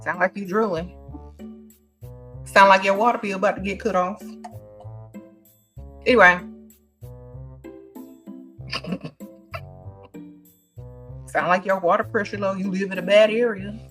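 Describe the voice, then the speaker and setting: A woman's voice: medium pitch, steady tone, measured pace, pauses often, a bit bright, soft background music. A woman giving blunt, emphatic advice, leaning on repeated catchphrases.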